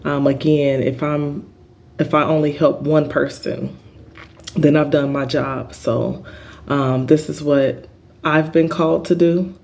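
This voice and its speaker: Earnest halting man with a flat voice. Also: a little high, gravelly. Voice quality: big voice. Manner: earnest, halting, many um fillers. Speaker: spiritual channel host. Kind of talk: spiritual healing vlog monologue.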